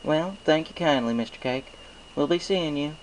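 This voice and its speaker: A man's voice: a little high, normal speed, a little hiss or hum, pitched above the performer's own voice, natural voice, poor audio. A man voicing a friendly, plain-spoken country farm mare in a folksy, hearty manner for a storybook reading.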